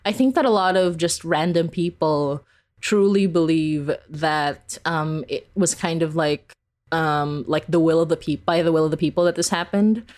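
A woman with a fairly low voice talks as a guest in a casual interview. Her way of speaking is informal and giggly, with "like" scattered through her sentences. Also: moderate pace, darkish tone. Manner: informal, giggly, peppers talk with "like". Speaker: interview guest, podcast creator.

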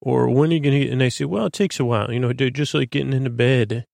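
A man hosting a bedtime podcast in a creaky, lulling voice, droning along in a halting, stammering, meandering monologue meant to help listeners sleep. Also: mid-range voice, a little mellow, dry recording, clean studio sound. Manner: halting, stammering, repeats and plays with words. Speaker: podcast host.

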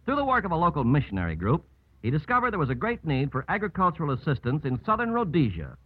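A man's voice: on the high side, wildly swooping pitch, fast talker, husky. A man with an American accent reading scripted narration as a 1950s radio host. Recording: muffled old 1950s radio broadcast.

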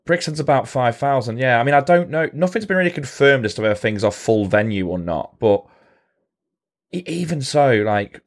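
A man with an ordinary mid-range voice who talks through details at length. He explains patiently and drops in deadpan jokes along the way. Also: medium pitch, moderate pace. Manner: knowledgeable, explains at length, dry humour. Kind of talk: casual podcast chat.

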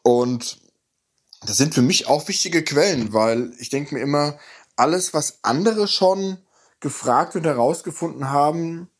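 A man's voice: fairly high pitch, measured pace. A man giving an informal congratulatory message, chatty and appreciative. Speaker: author and former podcast guest.

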